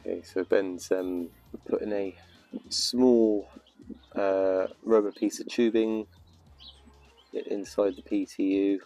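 A man's voice: on the low side, fairly even intonation, takes it slowly, pauses often, dark tone, faint music behind. A man explaining a car repair step by step from under the car, hesitant and casual. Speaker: vlogger narrating car repair. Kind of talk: casual how-to commentary.

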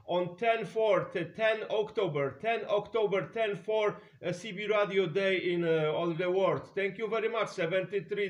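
A man from Poland with a Polish accent making CB radio contact calls in repetitive, clipped callouts as a radio operator. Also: sits high. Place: indoors at a radio set. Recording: background hiss and clicks.

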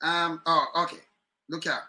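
A middle-aged man with a Jamaican accent giving casual, animated political commentary to his webcam from home, repeating his points. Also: a little high, a little slow. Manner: animated, chuckling, repeats phrases, gestures a lot. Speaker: online commentary host. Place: home room, indoors. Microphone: webcam at desk.

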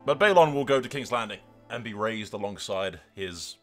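A man's voice: lowish voice, lively intonation, moderate pace. A man hosting a gaming video, giving casual, informal commentary that retells a roleplay story in a sweary, tongue-in-cheek way. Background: background music.

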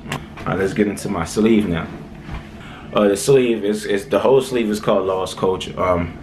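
A man's voice: fairly low pitch, moderate pace, noisy background. A young man from Haiti with a light Haitian accent, talking casually to camera in a small room, loose and rambling with lots of "um"s and "all right"s. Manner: laid-back, rambling, many "um"s and "all right"s. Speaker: vlogger. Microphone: camera close in front.

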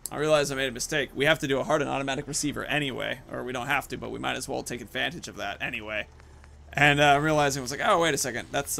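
A man's voice: mid-range voice, creaky, sharp tone. A man in his thirties giving casual, off-the-cuff commentary while playing a video game. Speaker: video game streamer, host.

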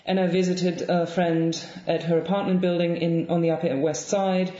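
A woman in her thirties from Germany with a German accent, speaking thoughtfully in an interview, with frequent pauses and restarts. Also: lowish voice, little pitch movement. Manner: thoughtful, soft-spoken, many ums and restarts. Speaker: guest author. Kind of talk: reflective interview conversation. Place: home room with bookshelves, indoors. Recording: roomy, slightly dull lo-fi sound.

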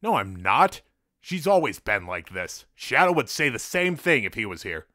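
A man's voice: medium pitch, sing-song, normal speed, pushed and bright, no room sound, clean studio sound. A man playing a stressed, stubborn cartoon echidna with a blunt, defensive manner in a fan audio drama.

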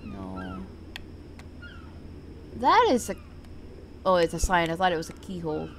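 A woman's voice: lowish voice, wildly swooping pitch, a little slow, slight background noise. A woman doing casual let's-play commentary, sweary and exclamatory, talking half to herself as she plays. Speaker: gaming video creator. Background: video game ambience sounds.

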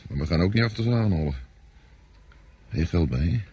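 Deep-voiced, cynical veteran soldier. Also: male voice, lively intonation, fairly quick, mellow, husky, exaggerated voice. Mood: dry, self-assured. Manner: knowing, explanatory, self-assured. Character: worldly-wise, cynical male veteran soldier, unhurried. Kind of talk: Dutch radio drama acting. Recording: noisy radio play recording, outdoor ambience.

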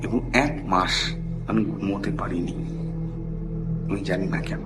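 A middle-aged man tells a personal story in a conversational, halting way, mixing in English phrases. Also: low voice, fairly even intonation. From India. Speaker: caller telling a personal ghost story. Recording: background music under the voice. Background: loud music.

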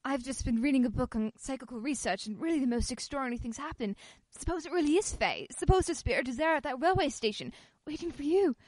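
A woman acts a persistent, inquisitive wife in a radio play. Her voice is high and theatrical, earnest and probing, suspicious yet affectionate. The sound is close and dry. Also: lively intonation, quick pace, hardly any pauses, a little mellow, no room sound. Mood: intrigued, earnest.

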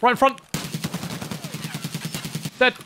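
A man streaming a game, chatting casually with a teammate in an excitable, sweary, exclaiming way. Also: drawn-out words, noisy background. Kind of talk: casual gaming commentary with a teammate.

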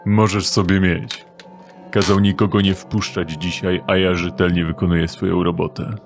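A man's voice: deep voice, little pitch movement, normal speed, gravelly, soft background music. A man playing a short, burly, sly doorman thug with a thick, unpleasant voice in an audio drama, talking in a mocking drawl.

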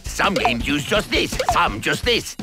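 A boastful, greedy cartoon troublemaker from a video game. The voice is high and swoops about, with a slow, exaggerated delivery. He is brash and bossy, gloating at everyone. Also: sing-song, over music. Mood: taunting. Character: greedy, boastful, cackling middle-aged male game villain. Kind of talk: animated video game character acting.

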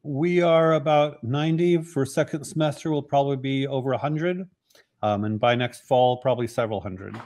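An older man joins a video call from a dim room at home. His tone is mellow and relaxed. He answers questions calmly and informatively. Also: mid-range voice, moderate pace. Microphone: video call webcam.

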